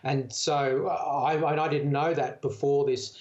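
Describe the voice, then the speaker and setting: A man's voice: on the high side, fairly even intonation, unhurried, darkish tone, dull audio. A man with an Australian accent speaking conversationally as a medical expert in an unscripted interview. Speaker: doctor, medical association spokesman.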